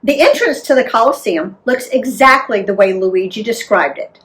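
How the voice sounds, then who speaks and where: An American woman narrating a travel memoir as an author reading aloud, in an expressive, deliberate storytelling manner. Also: a little high. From United States.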